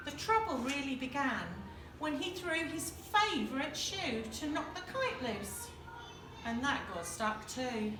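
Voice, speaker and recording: A woman reading a children's picture book aloud like a schoolteacher, with expressive, deliberate dramatic emphasis. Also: high voice. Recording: echoey, distant mic, noticeable background noise.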